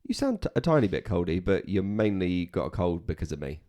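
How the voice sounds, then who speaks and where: Man, very deep voice, casual podcast co-host, jokey banter. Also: big pitch swings, brisk, dark tone. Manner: joking, self-deprecating banter. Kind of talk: casual chat.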